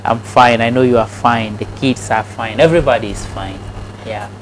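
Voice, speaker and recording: A man from Ghana being interviewed about his farming, talking in a soft, easygoing way. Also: mid-range voice, moderate pace, slight background noise, low-quality recording. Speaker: interview guest, snail farmer. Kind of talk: casual interview.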